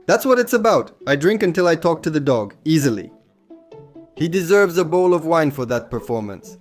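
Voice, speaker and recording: A hoarse man hosting a comedy show and reading viewer comments with quick, jokey asides. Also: on the high side.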